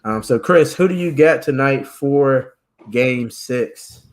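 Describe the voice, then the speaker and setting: A man hosting a casual chat about sports and faith, talking in halting bursts with many fillers and restarts. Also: mid-range voice, animated. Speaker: podcast host.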